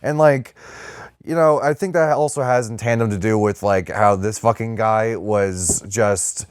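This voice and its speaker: A man's voice: on the low side, measured pace, clean tone, no room sound, clean studio sound. A man co-hosting a podcast, riffing in casual, irreverent, sweary banter. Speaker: podcast co-host.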